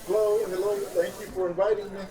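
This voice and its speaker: A man speaking as a podcast guest in casual discussion, explaining his points with pauses and fillers. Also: high-pitched, little pitch movement, crowd noise. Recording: distant mic, background voices.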